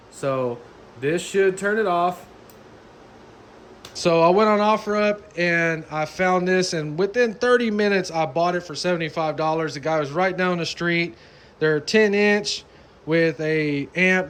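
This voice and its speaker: A man's voice: a little high, a bit bright. A man giving a casual, plain-spoken how-to narration as the host of a DIY car audio video, in informal colloquial speech. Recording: clearly noisy background.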